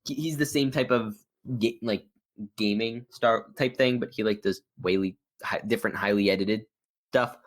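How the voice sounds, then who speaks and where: A man's voice: on the low side, normal speed. A man chatting casually as a podcast host and YouTuber, in a rambling, stop-start way with frequent stammers. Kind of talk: casual chat interview.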